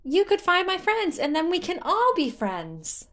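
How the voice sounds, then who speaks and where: High-pitched, excitable little forest sprite. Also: female voice, measured pace, exaggerated voice. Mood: cheerful. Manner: excitable, enthusiastic. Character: tiny childish wooden forest sprite, cheerful and playful. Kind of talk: fan fiction audiobook character voice.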